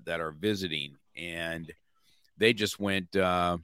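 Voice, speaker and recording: A man's voice: low voice, steady tone, takes it slowly, pushed and bright, smooth, no room sound, clean studio sound. A man hosting an interview, talking in a rambling way with many restarts and chuckles. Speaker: podcast host. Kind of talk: casual interview chat.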